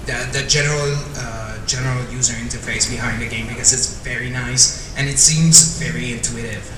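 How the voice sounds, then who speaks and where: A man's voice: medium pitch, fairly even intonation, moderate pace. A man from Montreal, politely asking a question from the audience. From Montreal, Canada. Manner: polite, slightly hesitant. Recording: echoey hall, far from mic, noisy.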